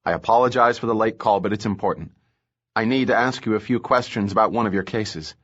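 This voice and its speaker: A man reading an audiobook, voicing a brisk, persistent woman police captain who asks clipped, businesslike questions. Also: on the low side, a bit bright. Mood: polite. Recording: slightly dull lo-fi audio.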